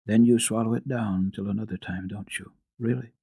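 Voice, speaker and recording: A kindly family doctor from a children's classic, voiced by a male narrator. He is calm, measured and reassuring, with gentle probing questions. The voice is husky and recorded very dry. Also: on the low side, no echo.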